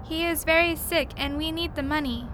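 High-voiced, earnest alien little girl, played by a woman. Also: steady tone, moderate pace, noisy background, close to an everyday voice. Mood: polite, earnest. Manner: stiffly formal, avoids contractions. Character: earnest young alien girl desperate to save her parents. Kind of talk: audio drama acting.

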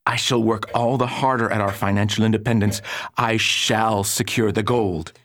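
A man's voice: on the low side, steady tone, normal speed, fairly bright tone, a little hiss or hum. An actor playing an obsessed, proud older Victorian alchemist and embittered father in a declamatory radio drama style. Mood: brooding. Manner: grandiose, declamatory old-fashioned speech. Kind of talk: theatrical radio drama acting.

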